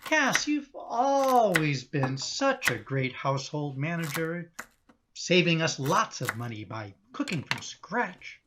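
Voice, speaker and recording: A man's voice: a little high, very animated, takes it slowly, background noise. A man acting in a radio play as a sheepish, evasive husband and father who cajoles hesitantly. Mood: flattering.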